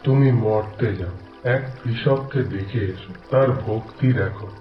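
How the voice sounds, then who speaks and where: Cartoon deity male actor: mid-pitched, very unhurried, wise and commanding, music behind. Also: close to an everyday voice. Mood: calmly commanding. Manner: measured, solemn, instructive. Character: calm, wise supreme god instructing his devotee. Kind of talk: cartoon voice acting. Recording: echoey, muffled, loud music underneath.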